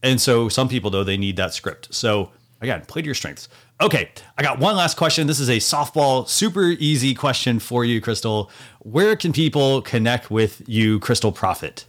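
A man's voice: mid-range voice, animated, normal speed. A man hosting a podcast, giving advice in a casual, filler-heavy way. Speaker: podcast host.

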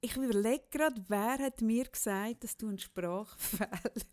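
Middle aged lady, kinda high voice, podcast chat w a friend, teasing n animated. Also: normal speed. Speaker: podcast co-host.

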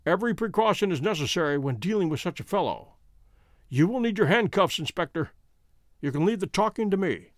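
A masterful storybook detective, voiced by a male narrator, composed and stern. He speaks precisely and with command, in a high, expressive voice, recorded dry. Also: normal speed, no room sound. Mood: quietly commanding.

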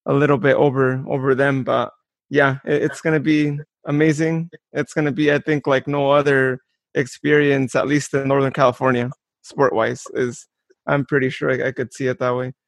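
A man's voice: medium pitch, flat delivery, moderate pace, vocal fry, no room sound. A man chatting casually about sports as an interview host.

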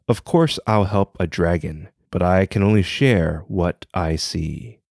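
A man's voice: deep voice, a little slow, creaky, no room sound, studio quality, voice pushed down, full character voice. A man doing a wry old mystic. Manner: calm and wry.

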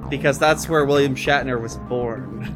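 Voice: mid-range voice, normal speed, loud music behind the voice. A playful tabletop roleplay player telling an improvised story casually and acting out quotes.

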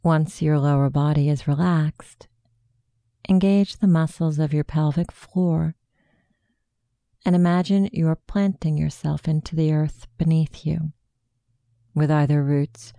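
Woman, guided meditation, hushed soothing delivery, even intonation, very mellow, close dry sound. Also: sits low, gravelly, no echo. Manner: slow, hushed, soothing instruction.

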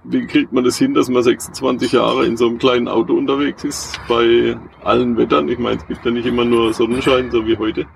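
Flat gravelly male interviewer. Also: medium pitch, brisk, hardly any pauses, slight background noise, rough recording. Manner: flat, short prompting questions. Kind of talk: outdoor interview questions. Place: outdoors beside a vehicle museum.

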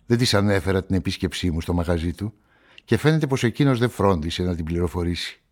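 An actor playing a guarded amateur sleuth, telling a crime story in measured, reflective radio-play narration and dialogue. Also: male voice, low-pitched, animated.

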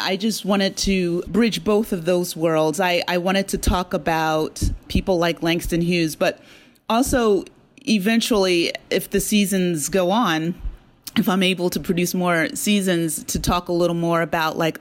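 A woman speaking as an interview guest, a writer explaining her work conversationally and thoughtfully. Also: deep voice. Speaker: guest, audio drama writer and creator.

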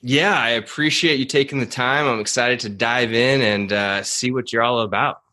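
A man's voice: mid-range voice, steady tone, normal speed, pushed and bright. Friendly, laid-back male podcast host. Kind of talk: casual interview.

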